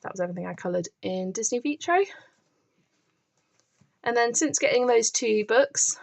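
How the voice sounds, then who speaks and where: A woman's voice: on the high side, animated, moderate pace, vocal fry. A woman hosting a colouring channel, chatting enthusiastically through her finished pages while filming the books from above at a table, her voice starting to crack. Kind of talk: casual show-and-tell narration.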